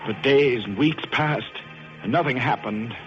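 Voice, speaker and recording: An actor playing a guilt-haunted, paranoid shipwreck survivor in intense, melodramatic old-time radio suspense. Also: male voice, medium pitch, measured pace, natural voice. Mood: brooding, haunted. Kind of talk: old-time radio suspense acting, first-person narration. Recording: muffled vintage radio recording with music.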